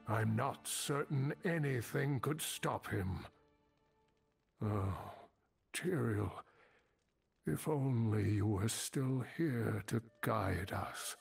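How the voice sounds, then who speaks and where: Slow, creaky old male scholar. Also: medium pitch, exaggerated voice. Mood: worried. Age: older. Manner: halting, solemn, deliberate. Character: old male scholar, grave, weary, worried mentor. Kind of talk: dramatic video game dialogue.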